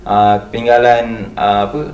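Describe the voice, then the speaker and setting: A young man with a Malaysian accent telling a story casually, in a halting way with many pauses. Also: mid-range voice, little pitch movement, darkish tone. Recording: roomy, distant mic, faint noise.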